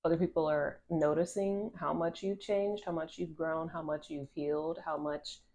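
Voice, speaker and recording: A low-pitched woman with a congested, nasal voice reads tarot cards straight into her phone camera. She talks casually and rambles, restarting phrases and leaning on "like" as she feels her way through each card. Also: fairly even intonation, moderate pace, warm tone. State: stuffy nose from allergies. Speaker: tarot reader.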